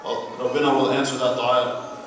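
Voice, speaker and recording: A man giving an earnest, measured personal speech at a public event. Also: mid-range voice, level tone. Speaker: speaker at an awareness event. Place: large hall at an Islamic center. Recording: very echoey hall from far off, faint noise.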